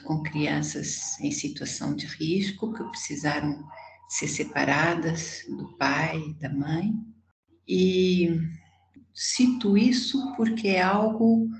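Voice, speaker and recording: A woman in her sixties from Portugal, with an accent softened by years in Brazil, speaking as a psychologist guest in a reflective, halting, deliberate way. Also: deep voice. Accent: Portuguese softened by decades in Brazil. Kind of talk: reflective conversational interview. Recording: echoey room with background noise.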